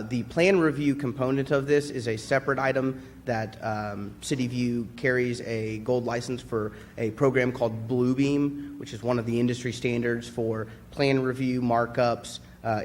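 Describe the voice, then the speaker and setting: A man's voice: medium pitch, darkish tone, a little hiss or hum. A man on city staff briefing the council in a matter-of-fact way.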